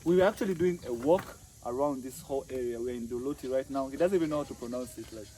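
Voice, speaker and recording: A man's voice: mid-range voice, quick pace, crowd noise, rough recording. A man from Tanzania hosting a casual vlog outdoors by a lake, laid-back and laughing often, with lots of "like" and "man". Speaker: vlog host. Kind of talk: casual chat.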